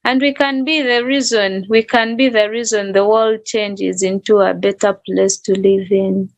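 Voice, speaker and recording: A woman's voice: medium pitch, steady tone, a little slow. A woman speaking as a panelist, making an earnest appeal.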